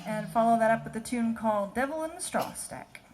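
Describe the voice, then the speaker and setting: High-voiced woman bandleader on stage. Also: fairly quick. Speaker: bandleader, singer and fiddler. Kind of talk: casual stage banter between tunes at a concert. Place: live concert stage. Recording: live stage PA, music and crowd noise. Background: music and audience.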